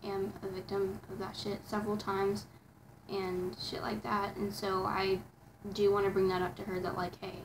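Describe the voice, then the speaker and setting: A teenage girl with a raspy voice, talking to her camera in a rambling, casual vlog full of fillers and restarts. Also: mid-range voice, little pitch movement, moderate pace, noisy background. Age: teens. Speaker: vlogger.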